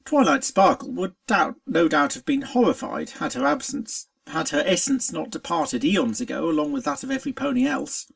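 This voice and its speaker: Story narrator, dramatic and expressive, very lively intonation with a creaky edge. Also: mid-range voice, moderate pace, few pauses. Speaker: fan fiction reader.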